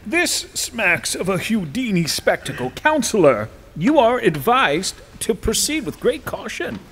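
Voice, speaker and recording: A man's voice: sits high, sing-song, unhurried, a bit bright, a little hiss or hum, full character voice. An actor playing a stern, authoritative male chief justice in a courtroom audio drama, speaking in a formal, declamatory, admonishing way. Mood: stern, cautioning.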